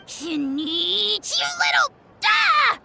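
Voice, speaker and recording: A woman voicing an excitable, boastful young girl warrior with a hot temper in a video game. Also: very high-pitched, very slow, creaky, loud music behind the voice, heavily acted. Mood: outraged. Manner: grandiose, eager. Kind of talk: animated video game voice acting.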